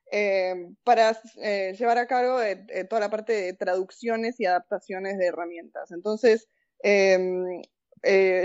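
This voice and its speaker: A woman's voice: mid-range voice, darkish tone, dull audio. A woman with a Rioplatense Argentine accent, chatting casually and telling personal stories as an interview guest, informal and slangy. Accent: Rioplatense Argentine Spanish. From born in Argentina, grew up in several countries. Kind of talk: casual chat, personal anecdotes.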